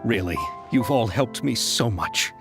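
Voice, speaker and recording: A man's voice: mid-range voice, animated, measured pace, over music. A man playing a polite, effusively grateful crew member in a video game, speaking formally.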